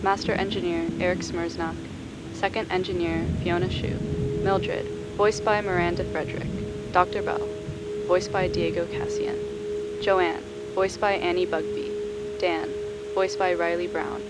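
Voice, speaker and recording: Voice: mid-range voice, level tone, unhurried. A plain announcer delivery, reading scripted credits for an audio drama. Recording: loud hum behind the voice.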